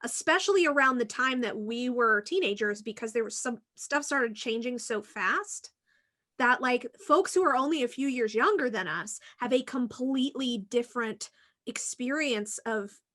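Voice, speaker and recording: A woman's voice: a little high, animated, normal speed, sharp tone. A woman in her thirties talking casually as a podcast co-host. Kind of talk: casual chat.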